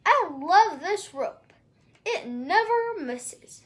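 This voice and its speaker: Woman playing a loud, blustering storybook giant. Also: sing-song, exaggerated voice. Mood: gloating. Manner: booming chant, drawn-out shouted threats. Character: huge, mean, blustering cowgirl-eating male giant.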